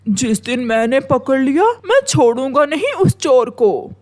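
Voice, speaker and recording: Cartoon pigeon farmer, acted by a woman: vengeful, complaining male bird, high-pitched, deliberate pace. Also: big pitch swings, a little mellow. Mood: vengeful, determined.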